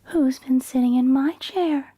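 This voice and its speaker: A woman's voice: high voice, measured pace, mellow, a little hiss or hum, voice pushed up. A woman voicing a motherly mama bear in a bedtime storybook reading, raised and complaining.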